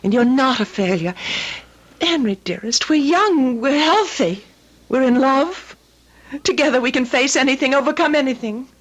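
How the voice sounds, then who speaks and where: An actress playing a devoted, anxious young wife in an old-time radio drama, tender, earnest and theatrical. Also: female voice, high-pitched, animated, normal speed. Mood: soothing, tender. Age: young adult. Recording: vintage radio drama recording.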